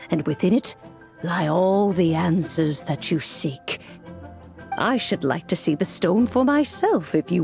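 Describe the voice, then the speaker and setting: An actress voicing an elderly, fond grandmotherly mentor in a game cutscene who talks gently and unhurriedly. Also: on the low side, creaky, exaggerated voice. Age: older. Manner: gentle, unhurried, softly laughing. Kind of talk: video game cutscene voice acting.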